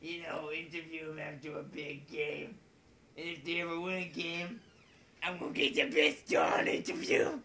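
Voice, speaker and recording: A man's voice: fairly high pitch, flat delivery, normal speed, sharp tone. A man voicing a naive, eager young female small-town gossip reporter in an amateur comedy radio play, talking in a folksy, ungrammatical way. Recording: noisy home podcast recording.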